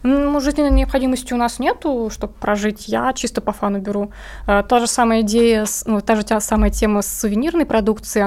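A woman's voice: mid-range voice, a little mellow. A woman speaking casually and candidly as an interview guest, informal and often correcting herself mid-sentence.

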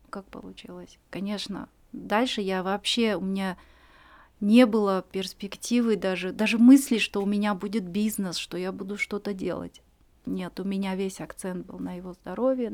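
A woman's voice: medium pitch, fairly even intonation, darkish tone. Earnest woman in her forties. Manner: earnest and reflective, many pauses and fillers. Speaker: podcast guest. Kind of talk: personal story in a podcast interview.

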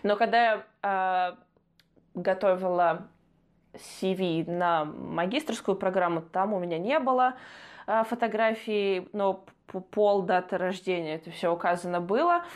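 A young woman shares her own experience in relaxed podcast talk. Her voice is mid-pitched and she laughs easily. Her delivery is chatty and conversational. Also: measured pace. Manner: chatty, laughs often, reminiscing. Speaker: podcast co-host.

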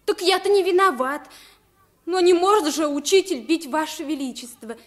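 A woman's voice: extremely high pitch, normal speed. A woman playing a timid, humble young servant boy who pleads earnestly and is eager to please, in a radio drama. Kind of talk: 1950s Russian radio play acting.

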